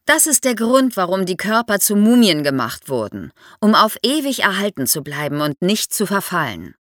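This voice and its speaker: Animated woman explaining, close dry sound. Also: mid-range voice, normal speed, no echo. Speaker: expert guest, archaeologist. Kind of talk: explanatory podcast interview for young listeners.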